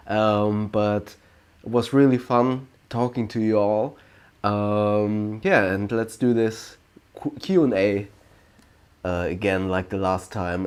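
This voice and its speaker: German man in his late thirties, livestream host, low and unhurried, full of ums and restarts. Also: a little mellow. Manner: slow, hesitant, many ums and restarts. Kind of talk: casual Q&A livestream.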